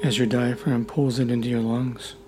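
A man leading a guided meditation in a soothing, deliberate way, leaving long pauses between short phrases. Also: mid-range voice, little pitch movement, slight background noise. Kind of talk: guided meditation, gentle instruction. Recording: loud humming meditation tones throughout. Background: gentle music with sine tone and singing bowls.

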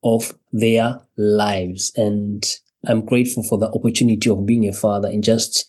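A man from Zimbabwe, a podcast guest telling his personal story in a halting way, repeating words and laughing between phrases. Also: lowish voice, little pitch movement, darkish tone, breathy edge.

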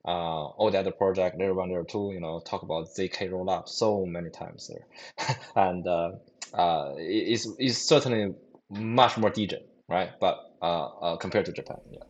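Male founder guest, very low pitch, hesitant with lots of fillers and false starts. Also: normal speed. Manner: hesitant, many fillers and restarts, non-native phrasing. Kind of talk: casual interview answers.

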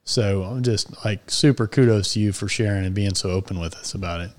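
A man's voice: fairly low pitch, a little fast. A man co-hosting a horse podcast, speaking earnestly and openly praising his guest. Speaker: podcast co-host. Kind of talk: casual interview chat.

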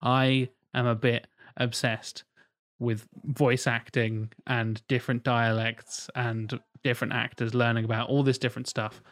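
A man's voice: medium pitch, little pitch movement, unhurried, a bit bright, no room sound. Man, dry room. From Norfolk, England. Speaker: podcast co-host. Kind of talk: casual podcast chat.

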